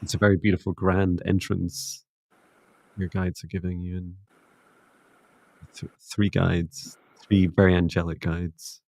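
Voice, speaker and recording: A Scottish man hosting a live psychic reading, chatty and upbeat, slowing down gently when passing on messages. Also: deep voice, flat delivery, creaky, no echo. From Scotland. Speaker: psychic reader and co-host.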